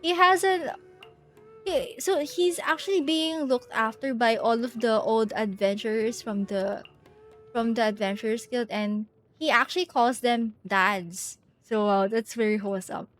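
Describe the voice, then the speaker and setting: A woman's voice: fairly high pitch, measured pace. A woman hosting a livestream, talking informally and thinking aloud, moving between casual chat and reading stories aloud. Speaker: livestream host. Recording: music behind the voice. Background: music.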